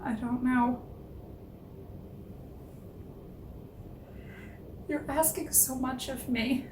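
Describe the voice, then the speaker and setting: A woman's voice: high voice, steady tone, a little slow, background noise. A woman playing a proud, passionate wife and mother in a theatrical period drama table read, dramatic and earnest, on a roomy, distant microphone. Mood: anguished, hesitant.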